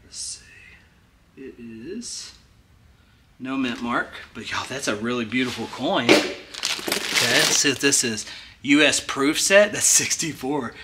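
Excited guy in his 30s digging thru a storage unit, talks to y'all a lot, noisy hallway sound. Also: mid-range voice, slow pace, pauses often. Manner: excited, chatty, addresses viewers as y'all. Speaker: storage unit treasure hunter host. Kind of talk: casual vlog unboxing chat. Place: indoor self-storage hallway.

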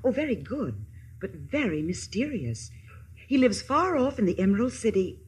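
A woman's voice: medium pitch, sing-song, normal speed, darkish tone, breathy edge. An actress playing a kindly, self-assured good witch, grand and soothing, in a 1950s radio play. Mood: reassuring. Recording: old radio broadcast with music behind.

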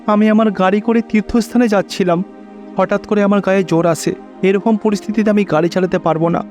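A man's voice: sits high, steady tone, a little fast, a little mellow, natural voice. A man playing a kindly, helpful young traveller in a cartoon, polite and reassuring. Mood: polite request. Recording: faint music behind.

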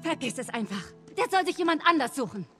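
An actress playing a fierce, protective adult wife and survivor in a video game, forceful and reproachful. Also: female voice, high-pitched, very animated, fast, over music. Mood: angry. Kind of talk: dramatic video game acting.

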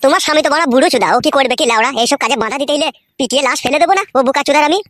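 A woman's voice: high voice, racing, a bit bright, dry recording, studio quality. A woman voicing a brash young man playing secret lover in a cartoon comedy, boastful and crude, with a rural West Bengal accent.

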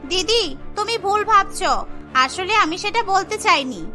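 Woman doing mouthy cartoon maid, really high bright voice, always arguing and haggling. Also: expressive, measured pace, soft background music, full character voice. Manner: talks back, haggling. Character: sassy, argumentative young housemaid demanding extra money.

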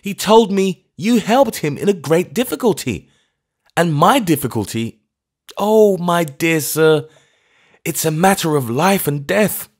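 A man's voice: a little high, big pitch swings, unhurried, breathy edge, voice pushed up, full character voice. A man voicing a meek, trembling old clergyman who stammers out anxious apologies. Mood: anxious pleading.

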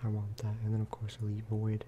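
A soft-spoken man hosts a gaming ASMR video in a low, flat voice. He rambles casually and often drops into a whisper. Clear background noise and clicking sit behind him. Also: fast talker.

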